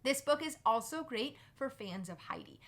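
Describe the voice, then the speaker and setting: A woman's voice: on the high side, lively intonation, moderate pace, a bit bright. A woman hosting a book recommendation video, speaking to viewers in an enthusiastic, emphatic presenter style. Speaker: host of a children's book recommendation channel. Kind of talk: upbeat scripted book review to camera.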